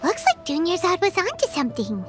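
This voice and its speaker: Tiny, squeaky, cheeky fairy companion. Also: female voice, a little fast, full character voice. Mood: curious. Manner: blunt, exclamatory, expressive. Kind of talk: video game voice acting. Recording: loud game music behind the voice.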